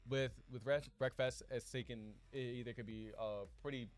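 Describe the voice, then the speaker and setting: A man's voice: medium pitch, steady tone, normal speed. Easygoing male esports caster over faint music. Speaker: esports commentator. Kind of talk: casual esports commentary chat. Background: background music.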